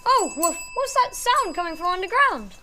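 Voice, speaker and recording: A young performer voicing a polite, excitable male pet dog in an amateur audio play, with woofs between the words. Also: very high-pitched, normal speed, a little hiss or hum, heavily acted. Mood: excited, apologetic.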